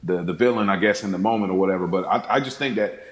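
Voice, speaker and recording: Low-voiced man in an echoey room. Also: fast. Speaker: podcast guest. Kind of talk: casual film discussion. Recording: roomy, echoing sound.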